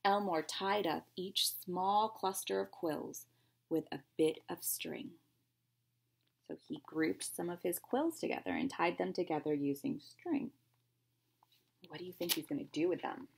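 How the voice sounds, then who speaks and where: Low, husky woman reading a children's story. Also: lively intonation, a little slow. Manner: explanatory, questioning, addressing young listeners. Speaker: teacher reading a picture book aloud to children. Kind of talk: expressive read-aloud storytelling with simple explanatory asides.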